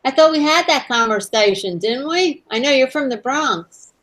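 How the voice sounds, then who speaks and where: A woman's voice: high-pitched, lively intonation, moderate pace, a bit bright. A woman from Brooklyn with a Brooklyn accent, chatting casually as host with a friend and online viewers. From Brooklyn, New York. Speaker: host of a live crafting hangout.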